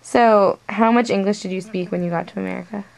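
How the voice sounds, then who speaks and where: A woman's voice: medium pitch, steady tone, brisk, gravelly, a little mellow, rough recording. A young woman conducting an informal interview, asking questions in a hesitant, casual way full of fillers. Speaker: student interviewer.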